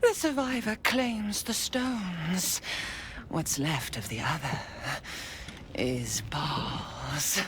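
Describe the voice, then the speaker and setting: A woman's voice: low voice, very slow, a little hiss or hum. An actress playing a sadistic young female assassin of a murder cult, taunting in a sing-song voice that slides into whispers. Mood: menacing. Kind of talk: video game cutscene acting.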